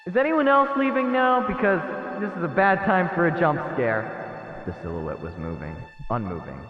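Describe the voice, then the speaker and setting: A man livestreaming a visual novel, reading its text aloud as expressive, dramatic narration with his own reactions. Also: sits high, normal speed. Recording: echoey reverb, muffled, music behind. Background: game music.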